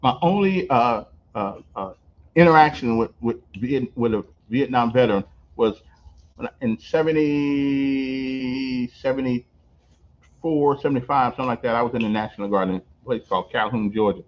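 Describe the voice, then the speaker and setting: Older man, host, mid-pitched voice, animated chatty storyteller, dull lo-fi sound. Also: moderate pace. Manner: animated storyteller, repeats words, laughs. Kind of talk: casual on-location interview. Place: on location at a veterans center. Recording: dull lo-fi recording.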